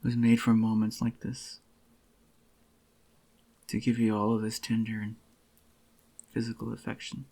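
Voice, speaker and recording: A man acts out an obsessively devoted boyfriend in an ASMR roleplay. His voice is lowish and thick with creak, groggy and soft-spoken. He keeps an even, narrow melody as he murmurs tender, possessive endearments up close. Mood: devoted, adoring. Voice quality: groggy, gravelly. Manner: tender, slow reassuring endearments to a lover.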